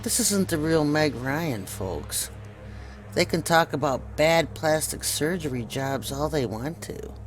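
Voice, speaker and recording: A man's voice: a little high, expressive, slow pace, over music. A man hosting an informal video commentary, insistently pointing things out to his viewers. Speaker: video host. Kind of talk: informal conspiracy commentary over pictures.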